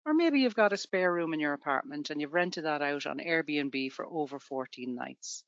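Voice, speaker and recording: A woman's voice: sits low, animated, normal speed, dry recording, muffled recording. A woman from Ireland with an Irish accent, presenting a tax webinar to students in a conversational way with everyday examples.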